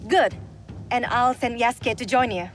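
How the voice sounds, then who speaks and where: Woman playing a composed, higher-voiced spy advisor. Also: close to an everyday voice. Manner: measured, informative. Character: composed, careful female spy advisor in feudal Japan. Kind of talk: video game cutscene acting. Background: music.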